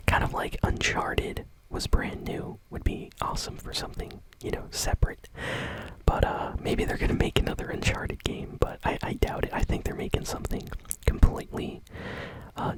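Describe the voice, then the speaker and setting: A woman hosting gaming news in an ASMR style, talking softly, informally and without hurry. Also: low-quality recording. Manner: soft, unhurried, informal. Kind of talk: whispered ASMR news commentary.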